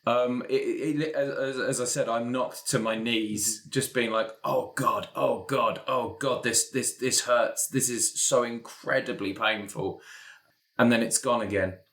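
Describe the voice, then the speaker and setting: A man's voice: mid-range voice, steady tone, measured pace, a bit bright. A man playing a tabletop role-playing game, improvising his character's story in a hesitant, think-aloud way. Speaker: player in a role-playing podcast.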